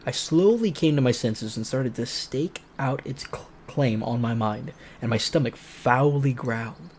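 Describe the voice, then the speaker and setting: A man's voice: medium pitch, unhurried, a little mellow. Man reading a game aloud, noisy recording. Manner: playful, joking asides. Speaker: streamer reading a visual novel aloud. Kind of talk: dramatic read-aloud narration mixed with casual joking commentary.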